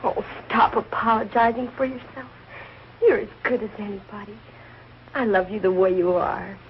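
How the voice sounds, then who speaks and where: An actress playing an affectionate, wounded young daughter in a 1940s sitcom, speaking with open emotion. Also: female voice, mid-range voice, steady tone, moderate pace, close to an everyday voice. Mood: hurt, tender. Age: young adult. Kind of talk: 1940s American sitcom acting. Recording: dull old broadcast, faint hiss.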